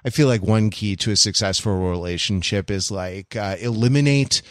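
A middle-aged man co-hosting a podcast, chatting casually and reflectively, halting often as he searches for words. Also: on the low side, dry recording, clean studio sound. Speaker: podcast co-host.

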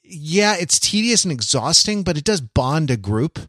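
A man co-hosting a podcast in casual analytical chat, halting and correcting himself as he thinks out loud. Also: on the high side, sing-song, no room sound, clean studio sound. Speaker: podcast co-host.

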